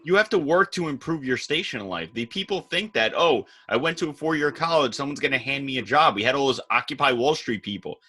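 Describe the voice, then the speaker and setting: A man's voice: mid-range voice, lively intonation, fast, few pauses, sharp tone, slightly rough. A man co-hosting a political podcast, talking bluntly and sarcastically in a lecturing way. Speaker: podcast co-host. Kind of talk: casual political chat.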